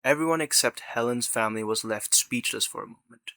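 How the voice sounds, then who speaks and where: A man's voice: medium pitch, fairly quick, creaky, sharp tone, no echo. A man reading scripted narration for an audio drama as its narrator.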